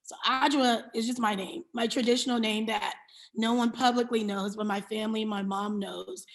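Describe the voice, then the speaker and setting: A woman's voice: fairly high pitch, fairly even intonation, normal speed, low-quality recording. An American woman from New York City giving reflective, candid interview answers, explaining and restating her points for emphasis. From New York City, USA. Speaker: interview guest, beauty brand founder and CEO.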